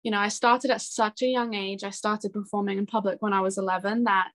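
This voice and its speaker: A woman in her twenties speaking as an interview guest in a casual, chatty and expressive way. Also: medium pitch, fairly quick. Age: 20s. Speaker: interview guest, singer-songwriter.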